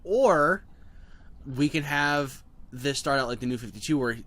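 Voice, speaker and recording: Animated, laughing man brainstorming stories. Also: mid-range voice, unhurried, slight background noise. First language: not English. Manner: animated and profane, laughing often. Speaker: co-host of a writing session. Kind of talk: casual brainstorming chat.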